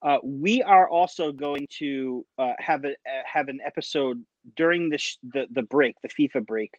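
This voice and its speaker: A man in his thirties, a podcast guest, chatting in an animated way with stammering restarts. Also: medium pitch, moderate pace, no echo, lo-fi sound. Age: 30s. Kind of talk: casual podcast chat.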